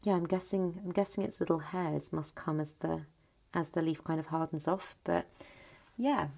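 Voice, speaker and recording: A chatty woman with a slightly low, faintly croaky voice walks through her home plant cabinet, gushing over each plant. She rambles and restarts mid-sentence, warm with enthusiasm, recorded close on a handheld phone indoors. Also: normal speed. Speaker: plant vlogger. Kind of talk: casual plant collection tour.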